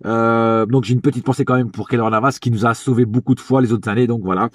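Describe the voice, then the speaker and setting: A man's voice: mid-range voice, steady tone, quick pace, no echo, clean studio sound. A man giving a casual, chatty match review as a football fan, hesitant, with many fillers and restarts.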